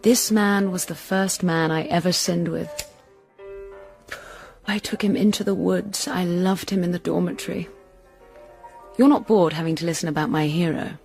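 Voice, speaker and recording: A woman's voice: fairly low pitch, steady tone, normal speed, vocal fry, long pauses, a little mellow. An actress playing a wistful, romantic young woman in a radio drama, with a dreamy, dramatic storytelling manner. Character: romantic, wistful young woman, stage performer with smuggler brother. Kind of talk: intimate radio drama acting. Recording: radio drama with music underneath.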